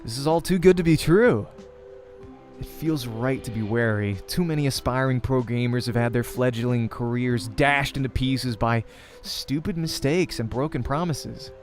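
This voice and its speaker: Male let's play reader, mid-pitched, narrating visual novel prose with music underneath. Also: normal speed.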